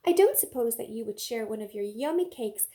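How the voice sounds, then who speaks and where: A woman's voice: a little high, animated, fairly quick, warm tone. A woman voicing a polite, cake-hungry fox from a children's storybook, with a wheedling way of asking. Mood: eager, polite.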